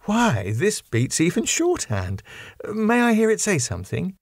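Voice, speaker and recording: A man's voice: high-pitched, huge pitch swings, measured pace, no echo, clean studio sound, voice pushed up, full character voice. A man voicing a polite, eager, sweet young Victorian woman in an audiobook. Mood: curious, excited. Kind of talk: audiobook character voice.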